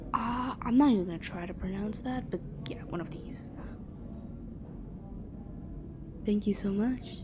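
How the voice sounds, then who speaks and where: Slow, teasing goth girl over noisy ambience woman acting. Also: mid-range voice, natural voice. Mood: polite. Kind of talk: intimate roleplay audio addressed to the listener. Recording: clearly noisy background ambience.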